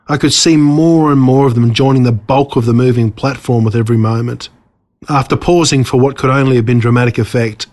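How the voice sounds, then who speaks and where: An Australian man narrates a story in a mid-pitched voice. His reading is measured and scripted, with a storyteller's sense of drama. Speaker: author reading own story.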